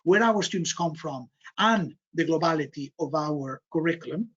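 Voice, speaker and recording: Spanish-accented male programme director, husky tone, filler-heavy, emphatic repeats, dull call audio. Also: a little high, expressive, normal speed, fairly bright tone. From Spain. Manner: frequent fillers and repeated words, emphatic triple repetitions. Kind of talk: online information session presentation.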